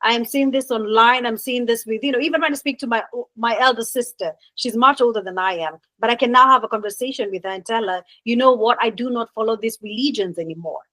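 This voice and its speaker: A woman from Kenya, hosting and moderating a panel discussion in an unhurried way with many "uh"s. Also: a little high, bright, forceful tone, dry recording, rough recording. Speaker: host and moderator.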